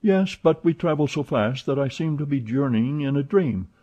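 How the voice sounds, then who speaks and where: A man voicing an excitable, chatty young French manservant who talks eagerly and protests often, in a dull lo-fi recording. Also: mid-range voice, pitched above the performer's own voice, close to an everyday voice. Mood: excited. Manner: eager, exclamatory, quick to protest.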